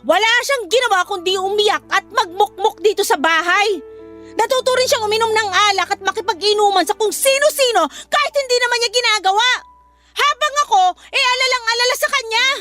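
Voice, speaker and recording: A woman's voice: very high-pitched, a little fast, music in the background. A woman playing an angry, protective younger sister in a Filipino radio drama, speaking in an accusing, emphatic way with a Filipino accent. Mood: hurt, resentful. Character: angry, protective younger sister confronting brother's returning girlfriend.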